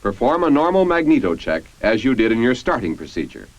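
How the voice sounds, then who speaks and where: An American man reading scripted 1950s military training-film narration in a formal, measured, instructional manner. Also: mid-range voice, very animated, dry recording. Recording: dull, band-limited 1950s film soundtrack.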